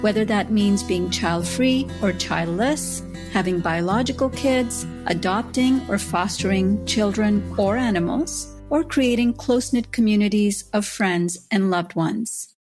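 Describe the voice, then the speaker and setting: A woman's voice: mid-range voice, unhurried, few pauses. Earnest woman hosting a podcast. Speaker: podcast host. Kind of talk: podcast intro and interview. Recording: music bed under voice.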